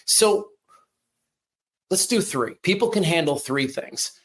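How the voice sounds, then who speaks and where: A man hosts a podcast interview. He is eager and keeps chiming in with quick agreements while guiding the guest. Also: a little high, expressive. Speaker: podcast host.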